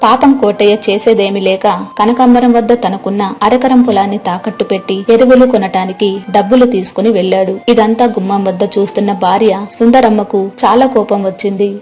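A woman's voice: medium pitch, steady tone, a little fast, hardly any pauses, a little mellow, faint music behind. A woman storyteller reading a scripted moral tale as narrator.

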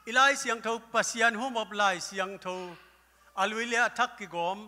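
An older man preaching a sermon at a lectern with a handheld microphone, breaking into loud exclamations now and then. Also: extremely high pitch, steady tone, normal speed, slight background noise. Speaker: pastor. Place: church hall stage. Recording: live church hall with long reverb.

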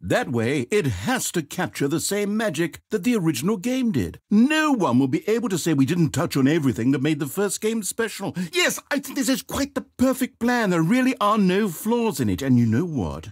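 An actor voicing a video game's narrator: a man with a polite, theatrical manner, breathy and fretful. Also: high voice, very animated, normal speed, hardly any pauses, no echo, full character voice. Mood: smug. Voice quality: breathy, dry close-miked studio voice. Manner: polite, fretful, swinging between grandeur and self-doubt. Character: fussy, theatrical male narrator of a video game. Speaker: game narrator. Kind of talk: scripted game voice acting. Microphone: studio microphone.

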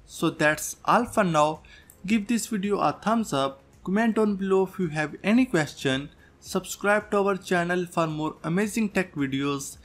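A man's voice: sits high, moderate pace, fairly bright tone. A man presenting a tech tutorial as a scripted voice-over, going through instructions step by step. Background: background music.

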